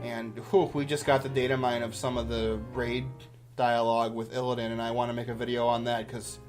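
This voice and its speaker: A man's voice: mid-range voice, little pitch movement, a little fast, a little mellow, soft background music. A man giving casual, off-the-cuff commentary as a YouTuber, with an enthusiastic, rambling manner.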